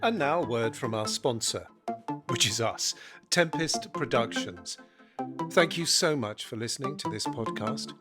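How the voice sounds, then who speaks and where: Man announcing over loud music. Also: medium pitch, lively intonation, measured pace. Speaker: podcast announcer. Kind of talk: scripted sponsor message.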